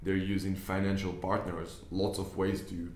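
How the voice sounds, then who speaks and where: A young Israeli man talks to camera from an office desk, his voice low and fairly level in tone. He explains his points earnestly and often restarts his sentences. The room gives his voice a clear echo. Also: little pitch movement, normal speed, darkish tone. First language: Hebrew. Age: young adult. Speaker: business investor. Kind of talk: casual talk to camera. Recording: echoey room.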